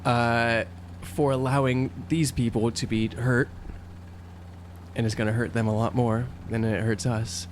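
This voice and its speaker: A man of medium pitch talks very slowly as a player in a tabletop game. He thinks aloud with halting, casual phrasing. There is a faint background hum. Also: flat delivery. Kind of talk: casual in-character discussion.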